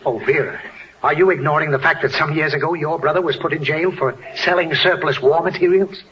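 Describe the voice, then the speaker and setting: A man's voice: a little high, fairly even intonation, brisk, close to an everyday voice. A man playing an exasperated, easily riled father in a 1940s radio comedy, scolding with theatrical bluster. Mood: sarcastic grumbling. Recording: muffled, hissy old radio broadcast.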